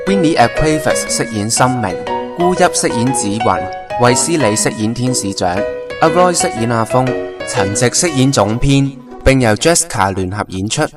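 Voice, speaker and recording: A man with a Hong Kong Cantonese accent reading scripted announcements for a radio drama. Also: medium pitch. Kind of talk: scripted radio drama credits and promo narration. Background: prominent music.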